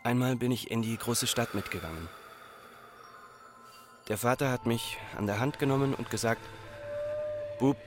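An actor playing an Austrian farmer's son, telling a childhood memory in plain, measured radio drama narration. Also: male voice, medium pitch, over music, close to an everyday voice. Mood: reflective.

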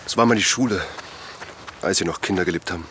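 A middle-aged man acting a calm father of two in an audio drama, giving short, dry answers. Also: low-pitched, fast talker, a little hiss or hum. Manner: calm, dry, brief replies. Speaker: local villager.

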